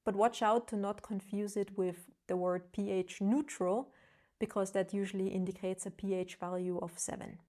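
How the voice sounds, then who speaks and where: A woman's voice: mid-range voice, darkish tone. A woman with a German accent, a non-native English speaker, explaining skincare findings in an earnest, casual vlog with light self-deprecating humor. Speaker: travel vlogger.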